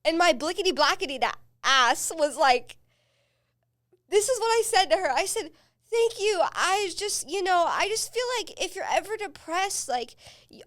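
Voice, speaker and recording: A woman's voice: extremely high pitch, a bit bright. A young woman hosting a podcast, telling casual stories with frequent laughs and swearing. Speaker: podcast host. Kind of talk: casual chat.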